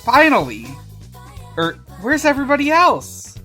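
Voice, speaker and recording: An eager, girlish cartoon hedgehog, acted out by a woman in a fan fiction reading. She speaks in a raised pitch that swings up and down. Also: wildly swooping pitch, measured pace, a bit bright, faint music behind, full character voice. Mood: eager, cheery. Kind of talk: fan fiction read-aloud.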